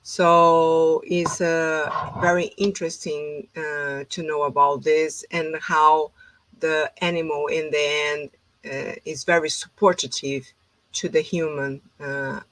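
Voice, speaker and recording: Slow-spoken Brazilian woman host. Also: sits low, flat delivery, a bit bright, no echo, low-quality recording. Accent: Brazilian Portuguese. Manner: hesitant non-native English with many fillers. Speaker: host, holistic therapist. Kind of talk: informal conversation.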